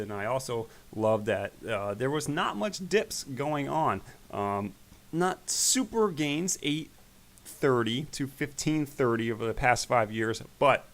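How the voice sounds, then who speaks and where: A man hosting an investing channel, talking casually through his stock portfolio in a rambling way, his voice growing raspy. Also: medium pitch. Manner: relaxed, rambling, with fillers. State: voice getting raspy. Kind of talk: casual screen-share stock commentary.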